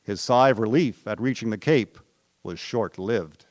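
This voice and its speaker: A man's voice: on the low side, unhurried, background noise. A man narrating a historical radio drama in scripted storytelling style. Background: music.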